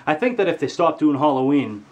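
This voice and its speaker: A man's voice: big pitch swings, fairly quick, lo-fi sound. A teenage boy ranting to his webcam in his bedroom, with comedic, exaggerated anger and lots of swearing. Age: teens. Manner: exaggerated angry ranting, swearing, pitch jumping high when outraged. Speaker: vlogger. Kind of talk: comedic rant to camera. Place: bedroom, indoors.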